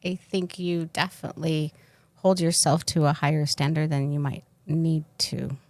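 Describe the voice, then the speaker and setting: Give this a woman with a distinctly low voice, recorded close and dry. She co-hosts a podcast and tells personal stories in a frank, irreverent, joking way, in loose conversational speech. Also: flat delivery, moderate pace, a little mellow, no echo. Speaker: podcast co-host. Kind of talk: candid casual chat, personal storytelling.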